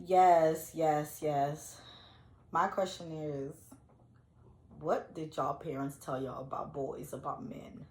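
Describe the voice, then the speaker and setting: A woman's voice: low-pitched, steady tone, slow pace, a little mellow, echoey room, a little hiss or hum. A woman from Liberia co-hosting a casual chat. She tells stories expressively and breaks into laughter. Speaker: co-host.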